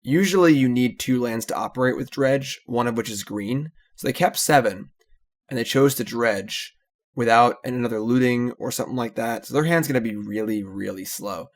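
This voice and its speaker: A man casually commentating his own card game, analytical and relaxed, often trailing off with "so". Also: medium pitch, normal speed.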